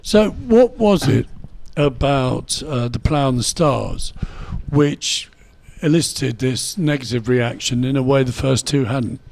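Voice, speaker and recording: A man hosting a radio interview in a studio, steering the discussion with measured questions. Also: medium pitch, lively intonation, gravelly, darkish tone.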